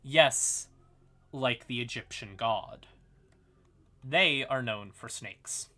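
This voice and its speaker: A man's voice: mid-range voice, very slow, pushed and bright. A man hosting a casual livestream, explaining fantasy game lore in an informal, sweary, apologetic way while sounding a bit tired. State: tired, yawning. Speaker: livestream host.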